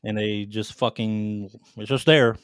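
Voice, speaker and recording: A man's voice: on the low side, fairly even intonation, unhurried, clear, resonant tone, dry recording, studio quality. A man hosting a gaming podcast, chatting casually and emphatically with plenty of swearing. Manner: profane, emphatic fan talk. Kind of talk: casual chat, counting down favorites.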